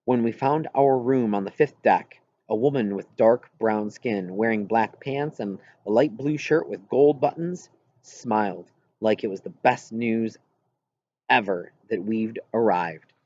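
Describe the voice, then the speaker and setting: A man reading a story aloud as an audiobook narrator, with an expressive scripted delivery. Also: fairly low pitch, measured pace, no room sound, lo-fi sound.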